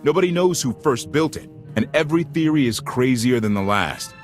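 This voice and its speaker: A man's voice: mid-range voice, lively intonation, brisk, faint music behind, natural voice. An actor playing an eager, idealistic male starship pilot who talks informally and with enthusiasm in a sci-fi video game. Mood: casual confidence.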